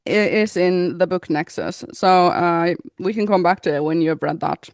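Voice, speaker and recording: A woman's voice: on the low side, moderate pace, vocal fry, no echo, studio quality. A woman co-hosting a casual podcast chat, easygoing, describing what she sees and laughing often. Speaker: podcast co-host.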